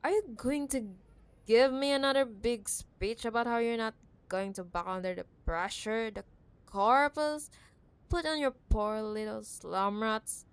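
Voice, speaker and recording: Slow-talking, possessive corporate ex-girlfriend, acted by a woman. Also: a little high, smooth. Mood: mocking, scornful. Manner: teasing, condescending, scornful, drawn-out emphatic delivery. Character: possessive, condescending wealthy female CEO ex-girlfriend in cyberpunk city. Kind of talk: ASMR audio roleplay, intimate dramatic monologue.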